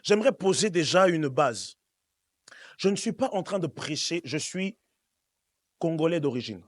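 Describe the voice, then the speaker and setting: A man's voice: a little high, expressive, measured pace, no echo. A man from Switzerland preaching a sermon, emphatic and repetitive, addressing his congregation directly. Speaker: pastor, preacher. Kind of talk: sermon with call and response. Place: church service, speaking to congregation.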